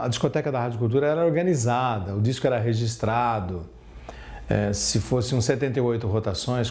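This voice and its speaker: A man's voice: mid-range voice, darkish tone. A man with a São Paulo state Brazilian accent, recalling things unscripted as an interviewee. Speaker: interviewee from the radio station. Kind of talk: unscripted recollection.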